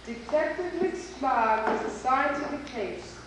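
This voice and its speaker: A woman with a high voice narrates a children's story from a stage. She is heard from far off in a strongly echoing space, with clear background noise. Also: animated, slow pace. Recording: noisy, echoey hall, far from microphone.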